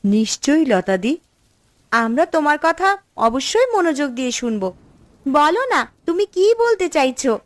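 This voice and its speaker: A young cartoon village sister with a high voice. She sounds eager and deferential, and the sound is very dry. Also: very animated, moderate pace, long pauses, no room sound. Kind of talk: Bengali cartoon story acting.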